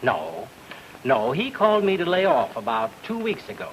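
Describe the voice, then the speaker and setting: An actor playing a hesitant, obliging small-town investigator who repeats himself in an old radio drama. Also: male voice, medium pitch, huge pitch swings, normal speed, a bit bright. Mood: informative. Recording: noisy old radio recording.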